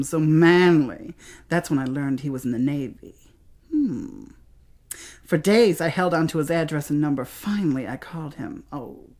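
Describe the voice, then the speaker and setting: An American woman with a low-pitched voice tells a personal story as a spoken-word piece. Her delivery is theatrical, shaped by dramatic pauses and weighted emphasis on key words. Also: a little mellow.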